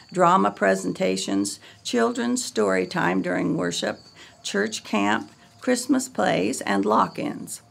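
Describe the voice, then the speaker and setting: A woman reading a scripted history narration in a measured, read-aloud way. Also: mid-range voice, gravelly.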